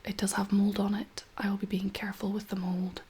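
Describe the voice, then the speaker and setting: A woman in her thirties hosting a soft-spoken ASMR chat in a gentle, unhurried, whispery and slightly husky voice. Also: mid-range voice, completely flat delivery. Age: 30s. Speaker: ASMR video host.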